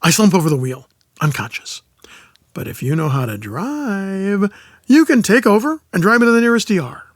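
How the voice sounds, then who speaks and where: Man acting as grumbling older American man, exasperated, sarcastic, lively swings in pitch, dry close sound, audio comedy drama. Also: moderate pace, no room sound. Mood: dry, explaining. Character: gruff, exasperated old-school older man teaching a kid.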